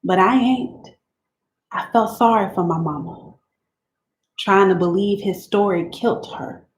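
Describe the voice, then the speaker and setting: A worn-down teenage country girl pours out her troubles to God in plain, quiet dialect, as a woman reads her letters aloud. Her voice sits at an ordinary middle pitch, and the recording carries a roomy echo with a faint hiss behind it. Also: a little slow, natural voice. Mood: resigned. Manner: plain-spoken rural dialect, quiet and resigned. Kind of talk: dramatic reading of letters.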